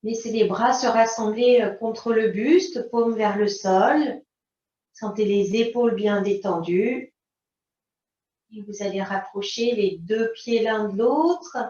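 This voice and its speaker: Slow-paced Frenchwoman guiding yoga, roomy sound. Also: fairly high pitch. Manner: calm, unhurried, instructing in short phrases. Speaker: yoga teacher. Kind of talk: guided yoga and relaxation instruction. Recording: roomy, distant mic, dull sound.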